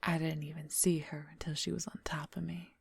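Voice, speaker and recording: A woman's voice: sits low, flat delivery, brisk, no room sound, heavily acted. A woman playing a possessive, wry female werewolf lover in an intimate, confiding whispered ASMR roleplay, close to the microphone. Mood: hushed, ominous. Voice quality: breathy, husky whisper. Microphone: close microphone, dry.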